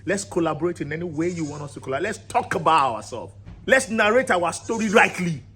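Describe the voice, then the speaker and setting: A man's voice: fairly high pitch, expressive, quick pace, sharp tone. A man, founder of a cultural channel, giving an impassioned monologue to camera in an emphatic, rousing way. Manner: emphatic and rousing, builds repetitive lists.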